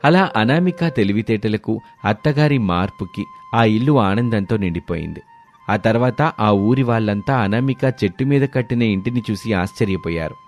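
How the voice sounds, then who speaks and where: A man's voice: lowish voice, expressive, normal speed, darkish tone, faint music behind. A man narrating a scripted moral story as its storyteller.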